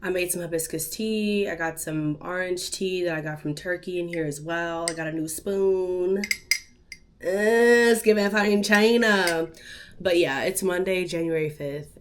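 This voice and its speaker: A young woman vlogging from her living room, her voice sitting a little low and sounding stuffed up from a cold. She talks to a tripod camera in a loose, slangy, playful way, tossing asides to her viewers. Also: a little slow, slight background noise. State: stuffed-up nose. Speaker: vlogger and podcast host. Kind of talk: casual day-in-the-life vlog chat. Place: apartment living room, indoors.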